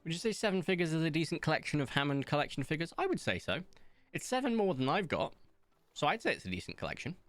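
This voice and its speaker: A man streaming from home, chatting casually in a rambling, self-mocking way and pausing often. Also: a little high, big pitch swings, no echo. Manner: rambling, self-mocking, hesitant with many ums. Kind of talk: casual chat reading viewer comments while playing.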